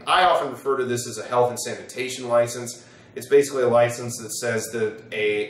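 A man's voice: mid-range voice, slight background noise. American man, distant and echoey. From United States. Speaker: attorney, presenter. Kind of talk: informal explainer to camera. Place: small wood-panelled office, indoors. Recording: roomy, echoing sound from a distance.

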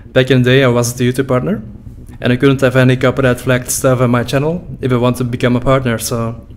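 A man's voice: medium pitch, little pitch movement, quick pace, a little hiss or hum. A young man with a Dutch-speaking Belgian accent, joking and laughing while reacting to his old videos at a desk mic in a small room. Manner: laughing, joking, loose and profane. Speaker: YouTuber. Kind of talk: reaction commentary. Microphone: desk boom mic with headphones.

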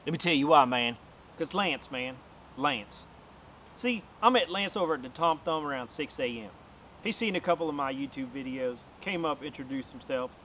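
A man's voice: fairly high pitch, moderate pace, slight background noise. A man, talking casually and energetically to the camera outdoors by a bay. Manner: energetic, loose, swears casually. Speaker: vlog host.

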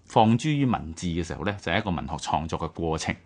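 A man's voice: deep voice, sing-song, fast talker, darkish tone. A man with a Hong Kong Cantonese accent co-hosting a radio history programme, explaining points step by step in conversation.

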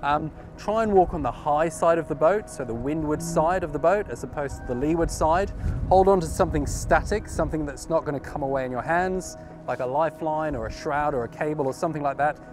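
A man's voice: a little high, brisk. A man in his thirties giving an informal, step-by-step instructional demonstration outdoors, explaining as he goes into a clip-on mic. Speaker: sailing instructor. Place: outdoors at a marina, on a yacht deck and dock. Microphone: clip-on lapel mic. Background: music.

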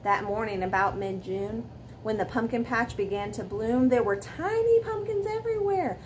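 A woman in her forties with a somewhat high voice reads a bedtime story to children. She stresses words dramatically and slips in asides and questions for her listeners. It is a home recording in a living room, with faint noise behind her. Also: normal speed. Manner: expressive, dramatic emphasis with questions to young listeners. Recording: clear background noise, room ambience.

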